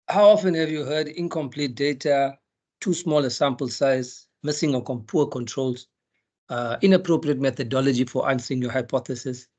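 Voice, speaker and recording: Husky-voiced man giving a webinar lecture. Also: medium pitch, darkish tone. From South Africa. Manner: chatty, jokey teacher, asks rhetorical questions. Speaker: dental researcher and lecturer.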